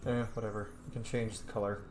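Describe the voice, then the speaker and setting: A man's voice: lowish voice, level tone, fast talker, darkish tone. A man giving casual commentary on a roleplay game playthrough, reading his character's lines aloud. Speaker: gamer doing a roleplay playthrough. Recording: echoey room with faint noise.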